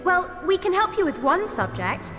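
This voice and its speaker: A woman with an English accent playing a brisk, sensible, prim teenage witch in a video game, in reverberant sound. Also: extremely high pitch, sing-song. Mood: resolute. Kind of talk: video game cutscene dialogue. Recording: hall-like reverb under music.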